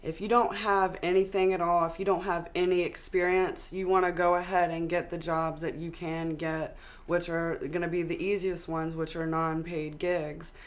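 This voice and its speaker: Young southern woman guesting on a studio interview, lowish voice, calm and explaining stuff, lapel mic. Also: moderate pace. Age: young adult. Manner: steady, explanatory advice. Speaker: actress and model guest. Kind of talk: interview answers. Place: studio with city backdrop, seated on sofa.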